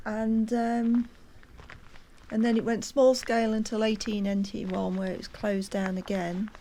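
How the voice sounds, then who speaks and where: A woman's voice: medium pitch, little pitch movement, unhurried, mellow, a little hiss or hum, poor audio. A woman narrating a casual walking tour, chatty and hesitant with plenty of ums, at times out of breath from climbing. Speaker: walking vlogger. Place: outdoors on a hillside.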